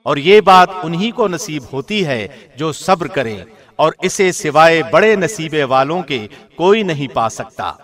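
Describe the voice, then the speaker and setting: A man reading a scripture translation from a script in a formal, solemn radio presenter's style with deliberate enunciation. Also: fairly high pitch, expressive, fairly bright tone. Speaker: translation reader, radio host.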